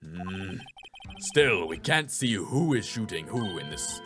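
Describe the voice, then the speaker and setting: A man playing a stern, domineering older prosecutor in a courtroom game, creaky, commanding and contemptuous. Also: low-pitched, very animated, slow, pushed and bright, faint music behind, pitched below the performer's own voice, full character voice. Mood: cold, contemptuous.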